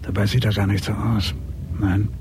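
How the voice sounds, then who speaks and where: A man's voice: on the low side, level tone, moderate pace, background noise, close to an everyday voice. A middle-aged actor playing an embittered, grieving father who watches obsessively, in a terse radio drama inner monologue. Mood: watchful, brooding. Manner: brooding, terse, talks to himself. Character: bereaved, embittered father obsessively watching his son's killer.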